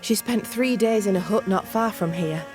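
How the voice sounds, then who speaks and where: A woman's voice: medium pitch, normal speed, over music, natural voice. An actress playing a guarded, reluctant colonial woman in a video game, speaking in a terse, wary manner. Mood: recollecting. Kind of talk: scripted video game cutscene acting.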